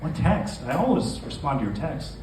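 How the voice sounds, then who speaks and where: A man's voice: a little high, big pitch swings, moderate pace, a little mellow, pitched above the performer's own voice. A man reading aloud the part of a worried, defensive boyfriend in literary fiction, speaking earnestly. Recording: echoey live room, distant mic, noisy.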